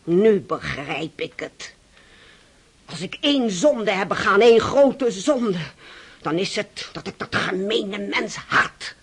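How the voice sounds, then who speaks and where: An actress playing a spiteful, indignant aristocratic niece in a radio drama, speaking haughtily and vehemently in a breathy, husky voice. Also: female voice, mid-range voice, lively intonation, slow pace, heavily acted. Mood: bitter indignation. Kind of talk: Dutch radio drama acting.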